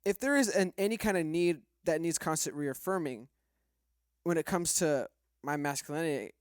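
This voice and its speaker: A man's voice: a little high, normal speed, clean tone, no echo, clean studio sound. A man debating casually as a podcast host, questioning and arguing his points. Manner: questioning, argumentative.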